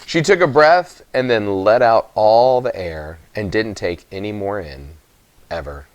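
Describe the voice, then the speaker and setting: A man giving an expressive, dramatic children's audiobook narration, with emphatic pauses. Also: lowish voice, no room sound. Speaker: story reader.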